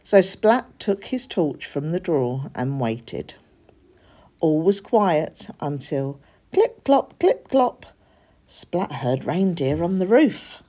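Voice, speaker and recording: A woman reads a children's story aloud. She takes her time and varies her pitch a great deal, giving the narration an expressive shape. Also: takes it slowly. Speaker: storybook reader.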